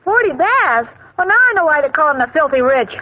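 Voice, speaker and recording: Sweet scatterbrained girlfriend, high voice, 1940s radio sitcom, heavy surface noise. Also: very animated, fairly quick. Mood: naive, eager. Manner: naive, earnest, literal-minded. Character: sweet, dim-witted, lovestruck young American woman. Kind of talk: 1940s radio comedy acting. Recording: very noisy, muffled 1940s radio recording.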